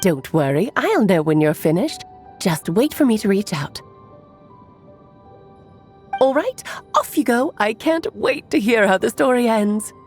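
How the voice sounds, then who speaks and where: A woman's voice: mid-range voice, big pitch swings, unhurried, pauses often, darkish tone. A woman playing an elegant, playful adult witch who speaks in an airy, teasing, coy way in a video game cutscene. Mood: playful, breezy. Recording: faint music behind the voice.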